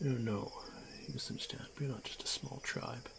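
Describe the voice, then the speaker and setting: A man playing a stoic, honor-bound orc warrior who is gently protective, speaking in hushed, halting, formal phrases for a fantasy ASMR roleplay. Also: mid-range voice, fairly even intonation, creaky, natural voice. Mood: measured, explanatory. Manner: hushed, halting, formal speech with frequent pauses. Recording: noisy track with night insect ambience. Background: crickets and insect ambience.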